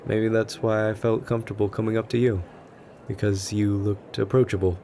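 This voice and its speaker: A man playing a shy, anxious and gentle young stranger who rambles self-consciously in a soft ASMR roleplay. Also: fairly low pitch, little pitch movement, normal speed, lightly acted. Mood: nervous, hopeful. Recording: background ambience noise. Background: coffee shop ambience.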